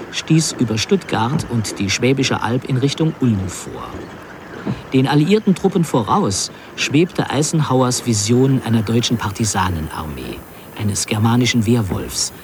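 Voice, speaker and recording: An actor plays a sober male chronicler narrating a radio drama. His medium-pitched voice is measured, literary and factual. Clear ambient noise runs behind him. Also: lightly acted. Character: sober male chronicler recounting the end of the war. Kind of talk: scripted narration in a radio play.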